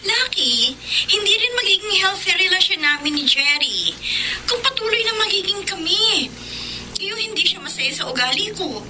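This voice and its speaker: A woman's voice: extremely high pitch, very animated, moderate pace, sharp tone, a little hiss or hum, heavily acted, low-quality recording. A woman playing an impatient, sulky young woman in a Filipino radio romance drama, with a Filipino accent. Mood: stubborn.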